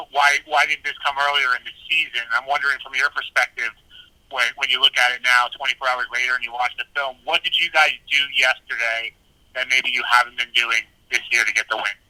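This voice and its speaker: Male reporter, mid-pitched, very sharp and dry sound, putting questions over a conference phone line. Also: steady tone, brisk, no room sound.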